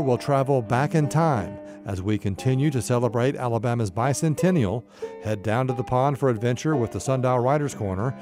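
Male radio host over guitar music. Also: medium pitch. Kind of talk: scripted radio introduction. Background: plucked guitar music.

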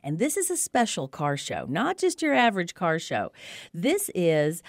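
A woman's voice: fairly low pitch, wildly swooping pitch, a little slow, gravelly, no echo, studio quality. A woman on local radio giving an informative, conversational rundown of community events with emphatic, promotional phrasing.

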